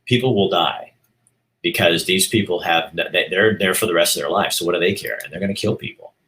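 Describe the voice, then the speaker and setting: A man's voice: deep voice, fairly quick, pushed and bright. A middle-aged man from Oregon hosting a casual live stream, talking candidly to viewers and thinking aloud as he rambles. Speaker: show host.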